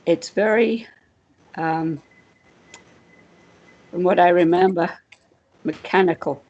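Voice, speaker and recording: A woman's voice: lowish voice, slow, darkish tone, muffled recording. A woman from Wales with a British accent, a guest telling a personal story, chuckling often and acting out quoted voices. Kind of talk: anecdotal storytelling.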